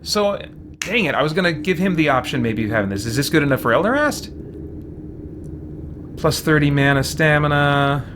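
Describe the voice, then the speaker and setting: A man's voice: fairly high pitch, lively intonation, moderate pace, pauses often, noisy background. A man hosting a casual game livestream, thinking aloud and rambling as he plays and chats. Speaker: game streamer and host. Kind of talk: casual livestream chat while playing.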